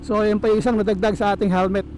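Male motovlogger, Filipino accent, very high voice projected casually from inside a helmet, clear traffic noise. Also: flat delivery, fast, darkish tone. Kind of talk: casual riding commentary. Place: outdoors on a motorcycle in town traffic. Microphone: lavalier microphone in a helmet. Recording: noisy street with traffic and engine.

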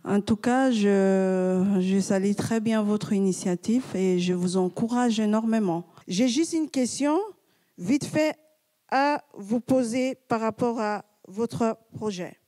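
A woman who heads a foundation asks a brief question in a courteous, encouraging way. Her voice is mellow and warm, at an ordinary pitch. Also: slow. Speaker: foundation head asking a question. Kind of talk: polite question from the floor.

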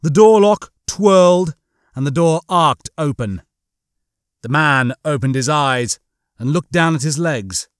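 A man narrates a comic science fiction novel with a theatrical sense of suspense. His voice sits fairly high and swings widely in pitch. The recording is dry and close. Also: expressive, measured pace, smooth, dry recording. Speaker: audiobook narrator.

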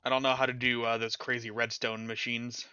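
A man's voice: medium pitch, level tone, brisk, pushed and bright, clear, resonant tone, dry recording, dull audio. A man streaming a game, chatting casually and mocking himself, with a slight stutter at times. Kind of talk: casual chat while playing a game.

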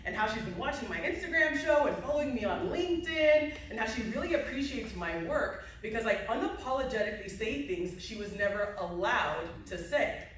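A woman's voice: a little high, lively intonation, fairly quick, hardly any pauses, fairly bright tone. A woman speaks from a podium in a big hall, accepting an award in a formal, emphatic way and driving home her points by repeating them. She is heard from far back in the audience, with heavy echo and a faint hum of the room. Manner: emphatic, repetitive rhetorical build. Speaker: award recipient giving acceptance speech. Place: large indoor hall at a podium, to a seated audience. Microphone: podium mic, heard from a phone in the audience.

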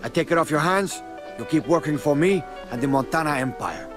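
An actor playing a cocky, menacing crime boss with a Cuban accent in a video game cutscene. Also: male voice, a little high, expressive, brisk, music in the background, natural voice. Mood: persuasive.

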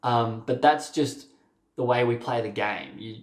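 Encouraging young coach, roomy echo. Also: male voice, medium pitch, a little slow. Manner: direct, encouraging, uses "mate", frequent "um" and "sort of". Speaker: waterski coach. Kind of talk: video coaching commentary. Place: small room with a plain wall.

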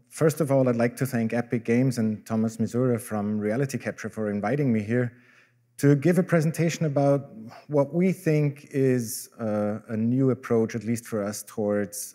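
A middle-aged man with an Austrian German accent speaks from a conference stage in a mellow, darker voice. His talk is relaxed and self-deprecating, dotted with fillers and restarts. He presents as a professor. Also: lowish voice. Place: large dark conference hall, on stage.

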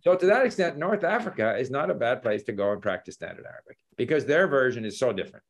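A man's voice: mid-range voice, quick pace. An older man telling travel stories in a casual video call from his home study, talking with his hands and chuckling. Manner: animated storytelling with gestures, chuckles. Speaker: language learning host. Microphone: laptop webcam video call.

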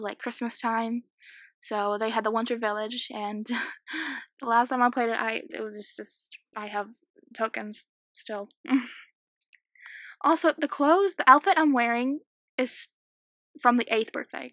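A sixteen-year-old girl with a slightly croaky voice, chatting and giggling casually while commentating a game she is playing at home. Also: fairly high pitch, bright, forceful tone, dry recording. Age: 16, teenager. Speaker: gaming YouTuber. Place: small home room.

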